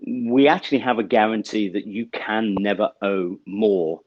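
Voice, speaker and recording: A middle-aged British man speaks in a low, measured voice, walking through his answers one step at a time with small fillers. The video call audio is dull and lo-fi, close and dry. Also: no echo. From United Kingdom. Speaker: bank representative guest. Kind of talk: explanatory interview answers. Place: office with banner backdrop, indoors.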